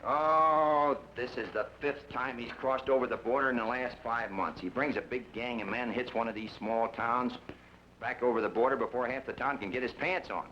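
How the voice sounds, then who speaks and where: A hearty ranger captain, voiced by a man in an old radio western, blunt and folksy as he coaxes his men. His voice sits at a plain middle pitch. The broadcast is dull and lo-fi with faint hiss. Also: fairly even intonation, normal speed, sharp tone, natural voice. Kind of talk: 1940s radio acting.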